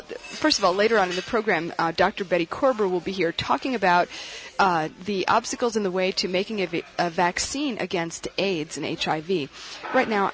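A woman hosting a radio interview, speaking in a measured way with occasional restarts. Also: medium pitch. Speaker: radio host.

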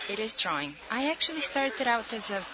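A woman from Bulgaria, an artist, calmly telling her story as a radio guest. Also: on the high side, fairly quick, noisy background.

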